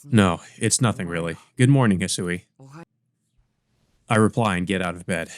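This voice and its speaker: A man's voice: sits low, moderate pace, no room sound. A man hosting a let's play, reading a visual novel aloud with casual asides. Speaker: let's play host and reader.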